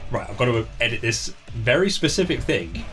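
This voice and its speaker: A man being interviewed as an author, chatting casually and telling anecdotes in an animated way, acting out what people said. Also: mid-range voice, brisk, soft background music. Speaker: author being interviewed about their book.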